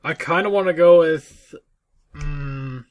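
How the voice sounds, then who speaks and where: A man's voice: fairly high pitch, slow pace, darkish tone. A man co-hosting a podcast, chatting casually and informally about games, with some swearing. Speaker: podcast co-host. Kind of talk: casual gaming chat.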